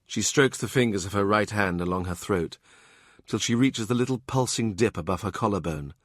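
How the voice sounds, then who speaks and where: A man narrating a historical novel audiobook in a measured, literary manner. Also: lowish voice, no echo, clean studio sound.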